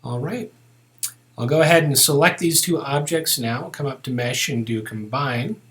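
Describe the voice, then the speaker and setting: A man teaching software in a casual, unscripted way, thinking aloud as he walks through each step. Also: medium pitch, normal speed. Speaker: software tutorial instructor. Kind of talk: narrated step-by-step screen tutorial, unscripted.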